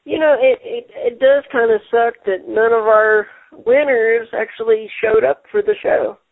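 A man hosting a casual radio show, talking haltingly and repeating words, with many fillers. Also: very high voice, fairly even intonation, no room sound. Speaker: show host. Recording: tinny phone-line sound.

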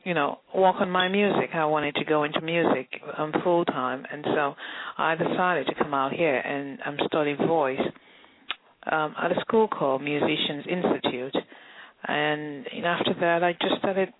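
A woman's voice: low voice, steady tone, normal speed, dry recording. A young woman from Nigeria with a mixed Nigerian and British accent, a singer chatting as an interview guest and laughing often. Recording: tinny phone line.

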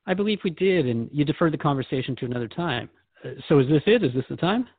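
Earnest male host with animated intonation. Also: fairly high pitch, fast, no echo, phone-quality sound. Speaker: host interviewing a guide. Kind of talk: conversational podcast interview.

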